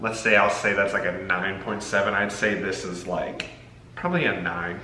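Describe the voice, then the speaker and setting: A man's voice: low voice, moderate pace, bright, forceful tone. A young American man chatting casually as he co-hosts a movie review. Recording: echoey room with faint noise.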